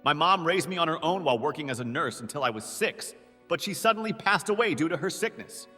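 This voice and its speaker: A man's voice: on the high side, animated, fast, soft background music. A man voicing a wistful orphaned boy with a long reverb. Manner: quiet, reflective narration. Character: orphaned boy looking back. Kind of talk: anime dub narration.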